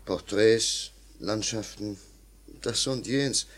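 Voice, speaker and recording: A disillusioned artist turned hack writer in a radio drama. His voice is on the low side, and he speaks with measured, rueful deference, as someone full of self-doubt. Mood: rueful, resigned. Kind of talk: German radio play acting.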